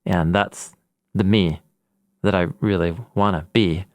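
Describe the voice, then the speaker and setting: A man co-hosting a podcast, talking through ideas in a relaxed, thoughtful way and joking at his own rhymes. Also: low voice, a little slow, no room sound. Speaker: podcast co-host, Bible scholar. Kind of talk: casual reflective conversation.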